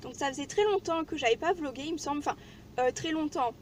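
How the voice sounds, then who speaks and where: A woman with a high-pitched voice chats informally, as if filming a casual vlog. Her delivery is chatty and everyday. The recording carries some light background noise. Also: fast talker. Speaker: vlogger.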